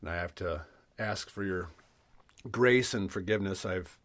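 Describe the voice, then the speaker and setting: A man's voice: deep voice, normal speed, a bit bright. A middle-aged man hosting a podcast with reflective spiritual talk, earnest and thoughtful, sounding stuffy from a cold. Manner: earnest, thoughtful, with frequent restarts. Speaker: podcast host.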